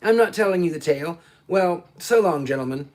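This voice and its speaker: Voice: mid-range voice, animated, a little fast, natural voice. A reader playing a breezy American private eye. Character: brash breezy American private detective, man.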